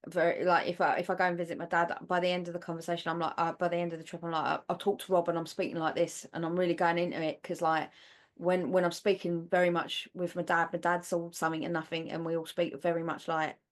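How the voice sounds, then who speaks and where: An Englishwoman co-hosting a podcast, opinionated and chatty, talking in quick bursts in casual conversation. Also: lowish voice, little pitch movement. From England. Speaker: podcast co-host. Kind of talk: casual chat.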